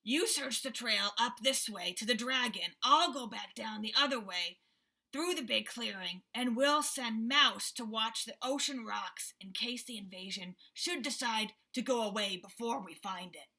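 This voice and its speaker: A woman's voice: fairly high pitch, a little slow, sharp tone, heavily acted. A woman voicing a suspicious, gossipy male wild boar in a storybook reading.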